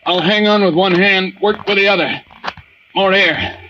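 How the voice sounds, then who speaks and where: A man's voice: high-pitched, steady tone, normal speed, highs cut off, lightly acted. Man acting a terse navy diver, noisy old radio. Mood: strained, insistent. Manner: clipped, terse replies. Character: dogged male navy deep-sea diver, terse and stubborn under strain.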